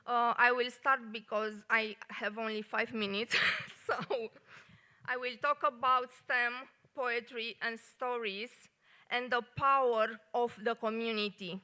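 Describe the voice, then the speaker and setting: A woman from Romania with a Romanian accent, an engineer giving a short live talk at a café event, speaking carefully with many pauses. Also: a little high, fairly even intonation, pushed and bright, clean tone. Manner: careful non-native phrasing with many pauses.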